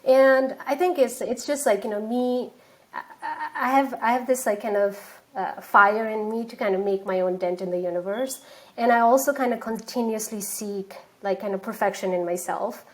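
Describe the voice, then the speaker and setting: A woman's voice: on the high side, normal speed. A woman in her thirties from Nepal, speaking as an interview guest, chatty and full of fillers and restarts. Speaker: guest, startup founder. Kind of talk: casual interview talk.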